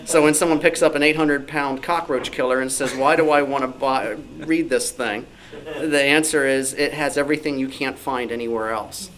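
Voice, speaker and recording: A man's voice: medium pitch, steady tone, moderate pace, a bit bright, rough recording. A man giving an informal, self-deprecating, anecdotal talk as a researcher and author at a club meeting. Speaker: old-time radio researcher and author. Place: club meeting room, indoors.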